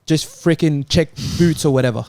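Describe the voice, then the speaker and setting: A man's voice: on the high side, normal speed, a little mellow, no room sound, clean studio sound. A man on a football panel chatting casually, insistent and opinionated. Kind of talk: casual chat.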